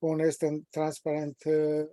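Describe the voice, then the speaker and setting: A man in his sixties from Romania, with a Romanian accent, presenting a training webinar at a measured, deliberate pace with frequent pauses. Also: a little high, monotone, mellow, no room sound. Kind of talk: prepared self-introduction in a training webinar.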